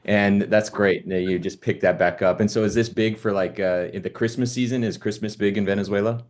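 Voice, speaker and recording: Deep-voiced American host, friendly. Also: male voice, fairly even intonation, brisk, darkish tone. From United States. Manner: friendly, short agreeing replies. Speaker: podcast host. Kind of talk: casual interview chat.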